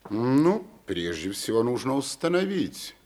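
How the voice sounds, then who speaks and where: An actor playing a cautious, formal gentleman in a radio drama, measured, polite and hesitant. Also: medium pitch, very animated. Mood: reserved, tactful.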